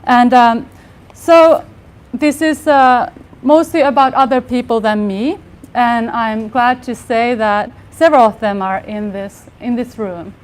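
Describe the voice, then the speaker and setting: Woman, 40s, soft voice, slightly high, earnest workshop presenter. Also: fairly even intonation, measured pace. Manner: earnest, many fillers and restarts. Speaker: workshop speaker. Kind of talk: conference talk. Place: live workshop room with audience.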